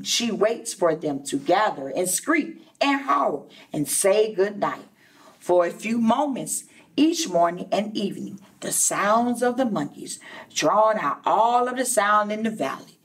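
Slow, lively woman reading a storybook. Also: lowish voice, distant mic. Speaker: preschool teacher reading a storybook aloud. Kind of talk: expressive children's read-aloud with asides to listeners. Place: indoor classroom.

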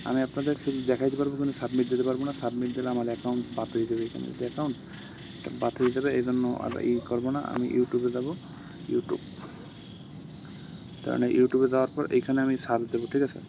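A man's voice: mid-range voice, fast talker. A man, casually walking through a phone screen recording as a tutorial host, rambling and repeating himself between steps. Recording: dull lo-fi phone recording with steady insect-like background noise.

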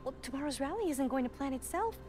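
An actress playing a warm, caring middle-aged mother in a video game, speaking affectionately. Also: high voice, lively intonation, fast, faint music behind. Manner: affectionate, motherly, slips into Spanish endearments. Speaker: game character.

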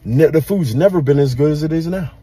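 A middle-aged man speaking calmly and matter-of-factly as a customer, recorded on a phone at arm's length inside his car. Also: on the high side, fast talker, husky, faint music behind. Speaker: customer giving opinion.

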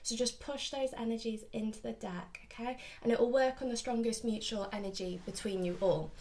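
A woman's voice: fairly high pitch, steady tone, brisk, darkish tone. A woman in her thirties gives a casual, chatty tarot reading to camera at home, slightly sniffly with hay fever. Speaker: tarot reader, host. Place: small furnished room at home, indoors.

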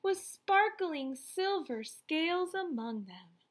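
A woman in her thirties reading a children's storybook aloud, expressive, deliberate and emphatic. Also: extremely high pitch. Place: small room at home, indoors.

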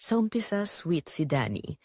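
A woman playing a betrayed young wife in an audio drama, narrating her heartbreak in earnest, measured, confessional phrases. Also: fairly low pitch, big pitch swings, a little mellow, no echo, clean studio sound. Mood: wounded, reflective. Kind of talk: scripted first-person dramatic narration.

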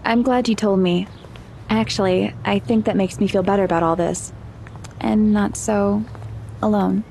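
A woman's voice: medium pitch, fairly even intonation, normal speed, creaky, mellow, a little hiss or hum, lightly acted. A woman playing an anxious, curious teenage girl in an audio drama, conversational and questioning. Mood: relieved, teasing. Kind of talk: naturalistic audio drama acting.

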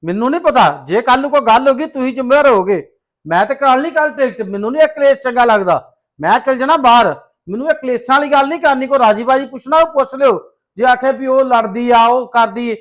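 A man acting an angry young son fed up with family quarrels, talking fast and heatedly. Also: extremely high pitch. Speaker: son home from abroad.

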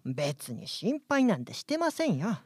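A man's voice: high-pitched, wildly swooping pitch, a little slow, husky, dry recording, studio quality, heavily acted. A man playing an earnest, prim young worker ant who protests indignantly in a radio drama. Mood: huffy, prim. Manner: indignant, polite, dutiful. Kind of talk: live radio drama acting. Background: music.

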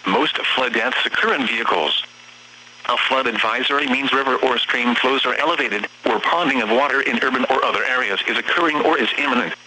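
A man's synthetic voice reading scripted weather bulletins over the radio in a formal, measured, impersonal manner. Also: medium pitch, bright, forceful tone. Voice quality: synthetic text-to-speech voice. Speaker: automated weather radio announcer. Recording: noisy, humming weather radio broadcast.

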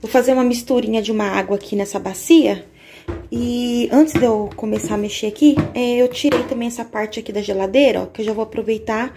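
A woman's voice: fairly high pitch, vocal fry, dark tone, a little hiss or hum. A woman in her thirties chatting casually to her viewers while cleaning a small home kitchen, filming on a handheld phone and checking in often with "okay?". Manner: chatty, uses lots of diminutives and "tá?". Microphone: handheld phone camera.

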